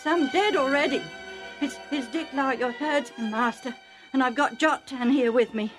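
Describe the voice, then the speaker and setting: A woman voicing an excitable male herdsman with rustic working-class speech, agitated and insistent, pleading with his master. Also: sits high, normal speed, soft background music, pitched above the performer's own voice, lightly acted.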